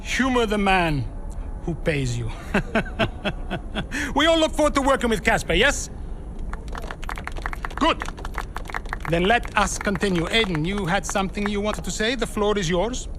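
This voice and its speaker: A man's voice: high-pitched, expressive, a little slow, pauses often, background noise. An actor playing a flamboyant, commanding male race team owner who speaks formally and teasingly to his staff in a game cutscene. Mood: showy.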